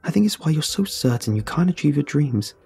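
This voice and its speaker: A man voicing an intense, earnest male friend in a horror story, speaking in a deliberate, insistent way. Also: a little high, very animated, vocal fry, warm tone, breathy edge, faint music behind, voice pushed up, full character voice. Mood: earnest, reassuring. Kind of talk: dramatic horror story dialogue.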